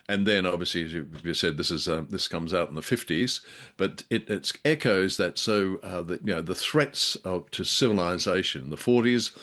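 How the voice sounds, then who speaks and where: A man with a fairly low voice chats as co-host of a show about vintage radio drama. His delivery is casual and halting, with dry wit and a habit of drifting off into asides. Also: a bit bright. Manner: hesitant, wry, digressive. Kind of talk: casual chat, review commentary.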